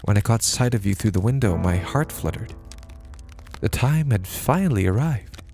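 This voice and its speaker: A man's voice: fairly low pitch, animated, normal speed, creaky, warm tone, faint music behind. A man voicing a pale, gloomy young female maid who speaks formally and is devoted to her master. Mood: eager. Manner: formal, elated yet lifeless.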